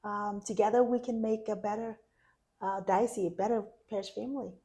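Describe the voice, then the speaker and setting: A woman from Vietnam speaks as a guest in a casual interview. She talks earnestly and softly at a middling pitch, with small pauses and restarts. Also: steady tone. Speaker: interview guest, parish council member.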